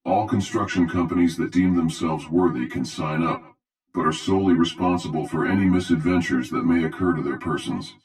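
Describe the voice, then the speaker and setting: A man's voice: low-pitched, soft background music. A man playing a cold, pompous masked herald in an audio drama, making formal, grandiose announcements in a metallic voice over a television. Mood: coldly grandiose. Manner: formal, grandiose, measured proclamation. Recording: distant-sounding metallic television voice.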